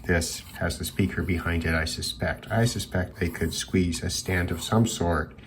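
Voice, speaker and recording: A man giving an informal, thoughtful product review in a measured way with hesitant pauses. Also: sits low, fairly even intonation. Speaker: radio hobbyist reviewer. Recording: clearly noisy background hiss.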